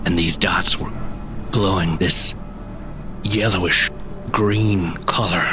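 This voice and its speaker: A shaken woodland tracker from a fantasy audio drama, recounts a sighting in a deep, slow voice. He halts and repeats himself dramatically while music plays clearly underneath. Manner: halting, dramatic storyteller, often pausing and repeating.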